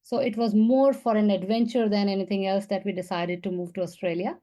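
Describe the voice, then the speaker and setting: A woman's voice: medium pitch, fairly even intonation, fairly quick, mellow. A woman from India, a podcast guest, telling her story in earnest, casual interview talk.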